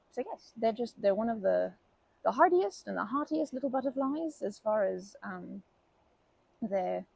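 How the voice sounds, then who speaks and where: A very creaky, soft-toned old grandma, acted by a woman in an ASMR comfort roleplay. She is kindly and chatty, with a breathy, mellow voice and a gentle habit of folksy rambling. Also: fairly high pitch, dry recording, exaggerated voice, poor audio. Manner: gentle, rambling, folksy wording, soothing asides.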